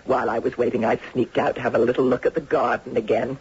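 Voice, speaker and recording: A woman's voice: extremely low pitch, flat delivery, quick pace, darkish tone, a little hiss or hum. An actress playing a polite elderly lady in a 1970s radio drama, courteous and tentative but full of questions. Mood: gently insistent. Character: genteel, polite elderly lady seeking a home. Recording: dull vintage radio broadcast.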